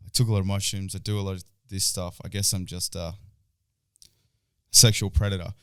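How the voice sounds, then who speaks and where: A man voicing a stoned young man who tells deadpan one-liners and trails off. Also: sits low, brisk, smooth, no echo, studio quality, lightly acted.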